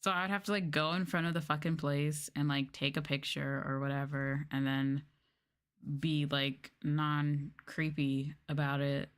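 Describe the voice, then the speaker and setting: A woman streamer chatting casually in a soft-spoken ASMR style, rambling and swearing as she goes. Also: deep voice, level tone, a little slow, clear, resonant tone. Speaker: VTuber streamer.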